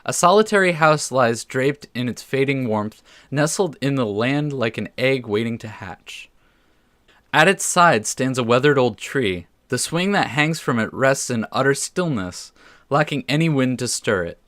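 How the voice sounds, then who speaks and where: A man's voice: medium pitch, lively intonation, measured pace, fairly bright tone, dry recording, studio quality. A man hosting a gaming video, mixing casual commentary with reading story text aloud and stumbling over the odd word. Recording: music under the voice.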